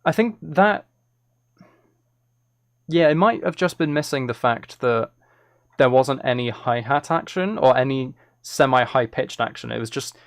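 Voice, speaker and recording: A man's voice: mid-range voice, lively intonation, measured pace, no room sound, studio quality. A man chatting casually as he works on music on a live stream, musing aloud in a self-deprecating way. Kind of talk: casual chat while working.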